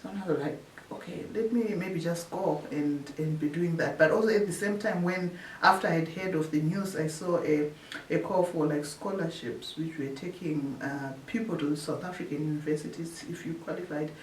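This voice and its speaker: A woman from Zimbabwe, a researcher telling her story in an interview, often pausing and restarting her phrases. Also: low voice. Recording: echoey room, distant mic, faint hiss.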